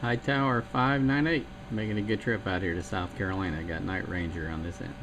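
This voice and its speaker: A man on CB radio, chatting quickly and casually about his equipment. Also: low voice, fairly even intonation. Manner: quick, chatty gear talk with fillers. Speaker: CB radio hobbyist. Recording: noisy CB radio signal.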